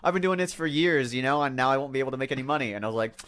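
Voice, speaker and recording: Medium-pitched man, wry casual podcast chat. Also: expressive, very fast, fairly bright tone. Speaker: podcast panelist.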